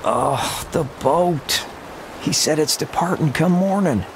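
A gravelly, wry gunslinger. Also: male voice, a little high, expressive, slow pace. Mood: wry, weary. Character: wry, weary male Western gunslinger. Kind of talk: video game cutscene acting. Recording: outdoor ambience noise behind.

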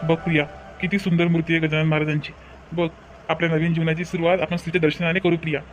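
High-voiced, earnest young husband played by a man. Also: little pitch movement, quick pace, faint music behind, lightly acted. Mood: devout. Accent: Vidarbha Marathi. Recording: dull lo-fi sound, faint noise.

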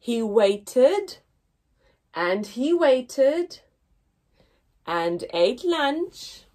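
A young woman reading a picture story aloud for small children, expressively, with deliberate pauses and emphasis and a slightly husky voice. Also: high-pitched, big pitch swings, darkish tone. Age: young adult. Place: indoors, small room with painted backdrop.

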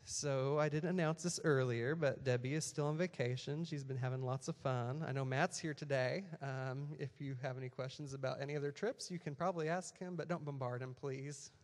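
A man's voice: mid-range voice, fairly even intonation, fairly quick, few pauses. Casual man praying in a church hall. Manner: casual, hesitant, many "um"s and "just"s. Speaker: worship leader making announcements. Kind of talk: prayer and church announcements. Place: church sanctuary stage. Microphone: handheld mic.

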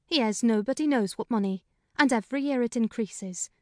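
A man's voice: very high voice, no room sound, studio quality, pitched above the performer's own voice. A man voicing a talkative middle-aged female housekeeper in a plain, frank way in a storybook reading. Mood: chatty. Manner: brisk, talkative, plain-spoken. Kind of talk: audiobook character voice.

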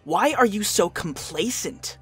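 An actor voicing an irritable, foul-mouthed young male troll in an animated comic dub, exasperated and sarcastic. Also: medium pitch, measured pace, husky, soft background music, heavily acted.

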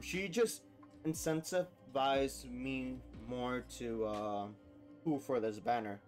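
A man's voice: mid-range voice, a little mellow. A young man hosting a gaming reaction video, talking casually in an informal, slangy, enthusiastic way.

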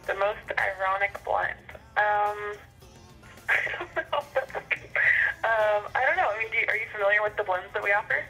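Young lady on a phone call, bright voice, polite coffee shop worker, kinda hesitant. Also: medium pitch, moderate pace, soft background music. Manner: polite, good-humoured, hesitant. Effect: telephone. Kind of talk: casual customer service phone chat. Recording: phone call played over speakerphone.

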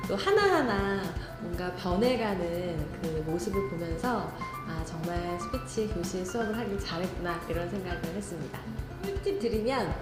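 A woman speech instructor giving calm, encouraging interview answers. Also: fairly low pitch, normal speed, echoey room, over loud music.

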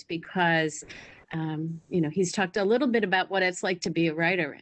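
A woman moderating an event on a video call, addressing schoolchildren in a measured, encouraging way. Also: lowish voice. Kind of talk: informal closing remarks to a young audience.

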